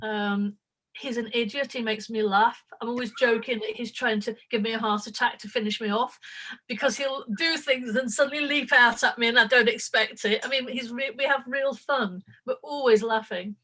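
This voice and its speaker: A woman's voice: on the high side, steady tone, normal speed, bright, forceful tone. An older woman, a writer being interviewed over a video call, talking openly and affectionately with frequent laughs. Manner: open and affectionate, laughing often, rambling with fillers. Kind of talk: casual interview chat.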